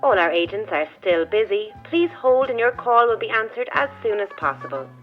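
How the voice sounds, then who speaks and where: A woman's voice: medium pitch, expressive, normal speed, natural voice. A woman playing an automated helpline announcer, reading formal, impersonal hold messages. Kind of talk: scripted recorded phone announcement. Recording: dull phone-line sound with music.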